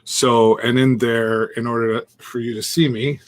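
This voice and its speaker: A man of ordinary mid pitch co-hosting a casual live stream. He talks through his software setup step by step, chuckling and trailing off mid-sentence, speaking into a Bluetooth earpiece. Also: level tone, normal speed. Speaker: co-host demonstrating streaming setup.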